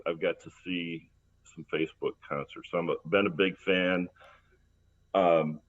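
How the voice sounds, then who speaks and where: An American man giving an informal introduction as a church host, rambling and reminiscing with halting, repeated words. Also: low-pitched. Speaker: church service host introducing a guest musician. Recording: dull, lo-fi livestream audio.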